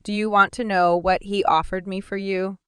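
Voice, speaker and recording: A woman's voice: medium pitch, level tone, moderate pace, smooth, no room sound, studio quality, pitched above the performer's own voice, close to an everyday voice. A woman voicing a cruel, mocking male sorcerer in an audiobook, with a sneering, coolly amused manner. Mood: taunting. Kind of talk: audiobook dialogue reading.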